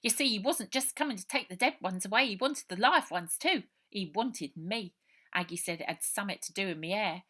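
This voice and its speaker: A woman's voice: mid-range voice, normal speed, pushed and bright. A woman voicing a frightened, streetwise ten-year-old orphan boy with a working-class English accent, in earnest colloquial speech for a storybook. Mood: confiding, uneasy.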